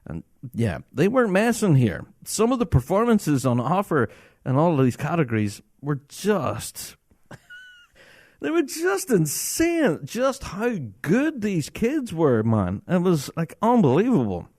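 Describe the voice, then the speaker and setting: A man hosts his own podcast, talking enthusiastically and breaking into laughter often. His voice moves around a lot in pitch and stays very animated. The recording is dry and close. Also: a little slow, no room sound. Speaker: podcast host. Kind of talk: casual solo podcast talk.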